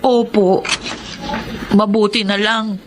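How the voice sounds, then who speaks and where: A woman's voice: mid-range voice, little pitch movement, background noise, close to an everyday voice. An actress playing a poor, protective widowed mother in a Filipino melodrama, deferential and earnest, with a Filipino accent. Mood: gentle, subdued. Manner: deferential, halting, earnest pleading. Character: poor scarred widowed mother, humble, pleading, protective of children. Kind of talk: Filipino radio-style melodrama acting.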